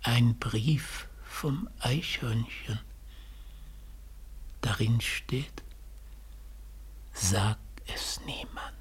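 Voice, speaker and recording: Mysterious stranger, radio drama: very breathy, slow, softly menacing. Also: male voice, mid-range voice, in a whisper, heavily acted. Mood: ominous, hushed. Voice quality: very husky, breathy. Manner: slow, soft, insinuating. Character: mysterious, quietly menacing man delivering a parcel. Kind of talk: German literary radio play acting.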